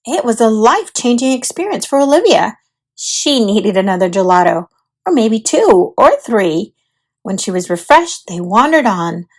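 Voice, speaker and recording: A woman narrating a children's storybook aloud in an expressive, deliberate storytelling manner. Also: fairly high pitch.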